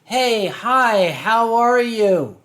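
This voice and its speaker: A man's voice: very high voice, lively intonation, drawn-out words, fairly bright tone. A man from the United States hosting a livestream with boozy casual chat and storytelling in a theatrical, bantering way. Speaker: livestream host.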